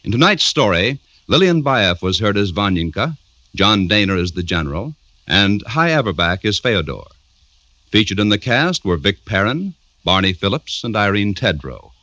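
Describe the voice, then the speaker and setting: Male 1950s radio announcer, scripted, formal, emphatic, bright projection, animated pitch, close dry sound. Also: normal speed, dry recording.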